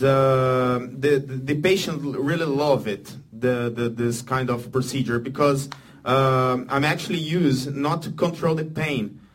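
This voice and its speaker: A man from Brazil with a Brazilian Portuguese accent, a surgeon speaking halting non-native English to an audience. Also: mid-range voice. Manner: halting non-native English, animated when acting out patients. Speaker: orthopedic surgeon speaking as guest. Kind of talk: unscripted talk to an audience.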